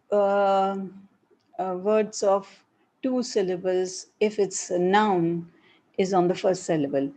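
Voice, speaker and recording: A middle-aged woman professor with an Indian English accent and a breathy voice, answering student questions in a live online session with frequent hesitations. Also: mid-range voice, dark tone. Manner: explanatory, repeats points with hesitations.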